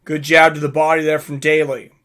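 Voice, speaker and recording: Male livestream fight commentator, slightly high and very bright voice, informal and conversational. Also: level tone, fairly quick. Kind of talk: casual live fight commentary with chat asides.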